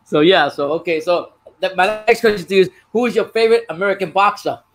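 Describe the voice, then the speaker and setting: A man's voice: high-pitched, quick pace, fairly bright tone, a little hiss or hum. A middle-aged man in a casual chat, playfully quizzing others and dropping in Spanish phrases. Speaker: guest, host's father.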